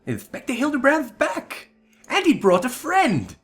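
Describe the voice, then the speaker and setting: Man putting on a squeaky, excitable girlish assistant. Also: measured pace, soft background music, full character voice. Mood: delighted. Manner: gushing, exclamatory. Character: excitable, adoring young female assistant, bubbly and naive.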